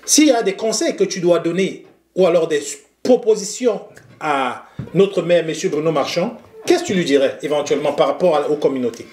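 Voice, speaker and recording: A man hosting an interview, asking his questions in a friendly, easygoing way. His voice sits a bit high. The room gives his voice a noticeable echo. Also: brisk, darkish tone. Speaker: interview host. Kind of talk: conversational interview.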